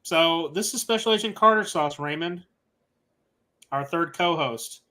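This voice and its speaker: A man's voice: a little high, unhurried, pushed and bright. A man co-hosting a podcast, chatting casually and teasing his friends. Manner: bantering, teasing. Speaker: podcast co-host. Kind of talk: casual chat.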